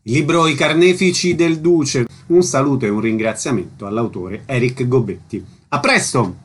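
A man's voice: medium pitch, moderate pace, husky. A man hosting a radio programme, presenting guests and segments. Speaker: radio host.

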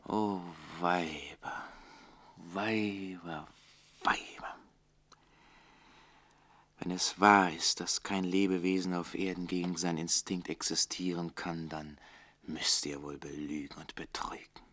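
Radio drama bitter, witty manservant: lowish voice, declamatory, defiant, moving from hushed to forceful. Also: male voice, steady tone, slow pace, heavily acted. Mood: anguished, hushed. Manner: declamatory, rhetorical, shifting between hushed and forceful. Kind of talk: theatrical German radio play acting.

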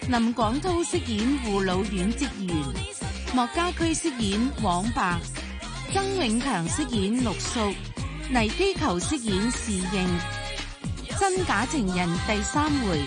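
A woman announcer reading a drama's closing credits in a measured, formal Hong Kong Cantonese delivery. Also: a little high, very animated. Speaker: radio announcer. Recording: broadcast voice over music.

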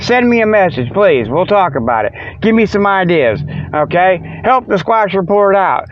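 Folksy American man rambling, slightly high voice. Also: lively intonation, moderate pace, slight background noise. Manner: folksy and meandering, with joking asides. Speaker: host of a comic Sasquatch show. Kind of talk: rambling casual monologue. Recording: dull, lo-fi radio-like sound, close and dry.

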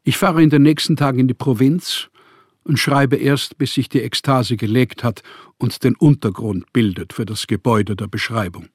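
An actor playing a proud, embittered male writer and journalist in a radio play, speaking formal letters with emphatic, declarative phrasing and a husky voice. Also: medium pitch, normal speed, no room sound, studio quality, natural voice. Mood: earnest, grateful. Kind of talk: radio play acting, reading letters aloud.

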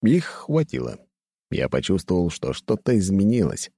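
A man's voice: low-pitched, big pitch swings, normal speed, creaky, dark tone, slightly rough, no room sound, clean studio sound. A man narrating first-person fiction as an audiobook reader, in a scripted storytelling style.

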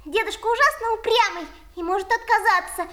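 A woman voicing a small, lively house-spirit child in a children's radio play, eager and pleading. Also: very high-pitched, a little fast.

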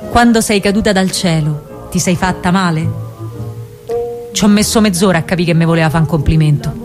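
An actress playing a spirited young partisan woman with a Roman accent, telling her story in an expressive, colloquial radio monologue. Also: lowish voice, fairly quick, over music, lightly acted. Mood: enamored, wistful. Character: young female partisan courier, lovestruck and spirited. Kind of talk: theatrical radio monologue, dramatic first-person storytelling.

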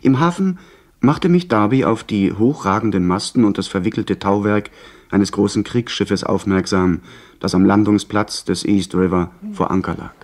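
A man's voice: fairly low pitch, moderate pace, a little mellow, lightly acted. An actor playing a well-bred young man who narrates and converses with polite curiosity in a radio play. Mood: composed, narrating. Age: young adult. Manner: well-spoken, composed, politely inquisitive. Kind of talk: 1970s radio play acting with first-person narration.

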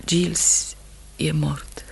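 A woman's voice: low-pitched, flat delivery, vocal fry, slight background noise. An actress playing a sorrowful, steadfast wife of a condemned man, solemn and intense in radio theatre style. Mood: grief, restrained. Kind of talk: Romanian radio theatre acting.

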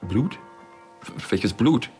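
An actor playing a shady, world-weary small-time smuggler in a crime radio drama, with a dry, colloquial manner that is wary and sardonic. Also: male voice, fairly low pitch, lively intonation, slow, darkish tone, soft background music.

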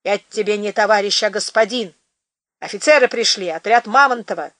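A woman voicing a gruff, commanding man who speaks brusquely. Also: medium pitch, normal speed, a bit bright, no echo, clean studio sound, lightly acted. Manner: brusque, bossy.

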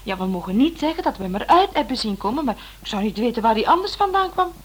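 A woman's voice: on the high side, big pitch swings, fast, slightly rough. A woman playing a playful, self-assured detective's partner in a radio play, with a teasing manner. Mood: pointed, intrigued. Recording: noisy old radio play recording.

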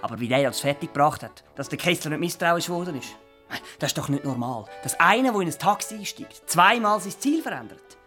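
An excitable small-town know-all in a radio play. His voice sits a little high and he rambles, doubling words and angling for agreement. Music sounds behind him. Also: brisk. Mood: animated, indignant. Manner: rambling, repeats words, seeks agreement with tag questions. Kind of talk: radio drama acting.

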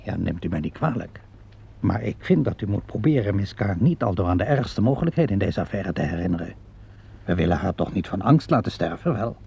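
Male police inspector, vintage radio drama: gravelly, measured, courteous, wry. Muffled lo-fi sound. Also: low voice, very animated, dark tone, a little hiss or hum, full character voice. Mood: calm, wry. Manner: measured, polite questioning with hesitant interjections. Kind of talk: vintage Dutch radio play acting. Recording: dull lo-fi vintage radio recording.

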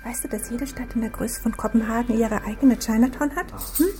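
Woman acting breezy young woman, radio drama, chatty, highish pitch, faint music. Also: brisk, warm tone, lightly acted. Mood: teasing.

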